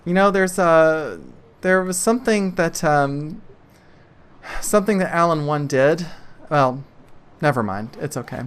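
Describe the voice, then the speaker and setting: A woman game master improvising narration for a tabletop game in a halting, conversational way. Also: low voice, animated, clean tone. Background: soft music.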